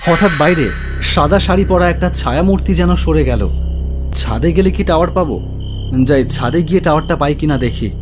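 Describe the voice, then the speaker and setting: A man narrating a scripted ghost story in the first person, with an expressive, suspenseful delivery. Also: fairly high pitch, normal speed. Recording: music bed under the voice. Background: background music.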